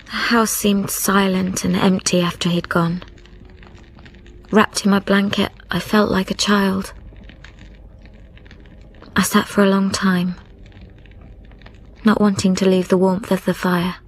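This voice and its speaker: A woman's voice: mid-range voice, flat delivery, slow pace, pauses often, a little hiss or hum. A woman playing a haunted, stubborn young widow in a radio drama, speaking intensely in short broken phrases. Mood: lonely. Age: young adult. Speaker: actor and narrator in a radio drama. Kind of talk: dramatic first-person narration and dialogue. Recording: radio drama with music behind.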